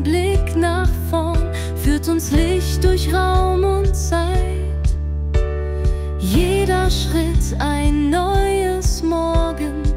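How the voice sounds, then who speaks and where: A woman sings in a high voice over loud instrumental music. The phrases are melodic and very slow, with long-held words. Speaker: singer. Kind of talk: melodic song with drawn-out phrases.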